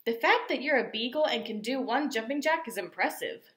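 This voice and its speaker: A woman's voice: fairly high pitch, lively intonation, fairly quick, fairly bright tone, roomy sound. A woman voicing an earnest, polite, bookish young girl who explains things matter-of-factly in a storybook. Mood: earnest, helpful.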